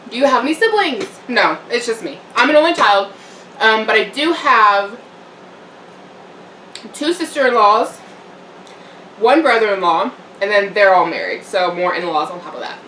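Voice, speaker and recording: A woman's voice: mid-range voice, lively intonation, moderate pace, long pauses, pushed and bright, a little hiss or hum. A young woman chatting casually while eating on camera, rambling with fillers and little laughs. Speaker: vlogger. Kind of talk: casual eating-show chat. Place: home room, eating on camera. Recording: echoey room, mic a bit far off.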